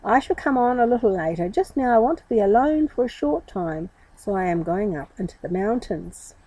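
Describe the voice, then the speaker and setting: Woman as kindly male master, storybook reading, gentle authority, reassuring, mellow, lilting pitch. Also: lively intonation, normal speed, no echo, lightly acted, poor audio. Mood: instructing. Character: kind, calm, reassuring male spiritual master.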